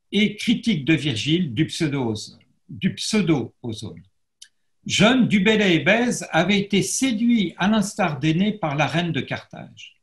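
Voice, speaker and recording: A man giving an academic lecture as a historian, pausing and restarting often as he reads and translates verse. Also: on the high side, roomy sound. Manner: hesitant, frequent pauses and restarts; self-deprecating about his Latin pronunciation. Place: lecture setup facing a camera, roomy.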